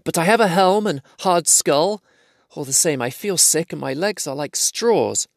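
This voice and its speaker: A man's voice: a little high, lively intonation, unhurried, vocal fry, dry recording, pitched above the performer's own voice, heavily acted. A small, kindly hobbit frets and chides himself in a raised, light voice, as a man reads him aloud in a storybook. The sound is close and dry, with no room around it. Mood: weary. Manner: fretful, self-deprecating. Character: small kindly male hobbit, anxious and gentle.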